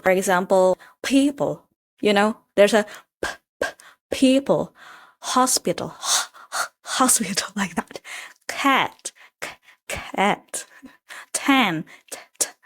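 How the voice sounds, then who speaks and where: A woman's voice: medium pitch, unhurried, long pauses. A young woman from Indonesia with an Indonesian accent, giving a casual tutorial as an English tutor and laughing often, into a handheld microphone.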